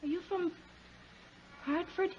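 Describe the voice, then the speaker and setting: A woman's voice: very high-pitched, steady tone, slow. An American actress playing a desperate, distrustful, defiant woman in a 1950s radio drama, overwrought and wary. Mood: wary, suspicious. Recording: dull, noisy 1950s radio recording.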